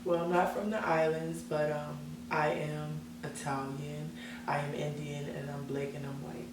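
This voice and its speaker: A woman's voice: low-pitched, flat delivery, a little slow. A young woman singer as an interview guest, talking about herself in earnest, self-assured casual chat. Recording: echoey room, mic at a distance, background hum.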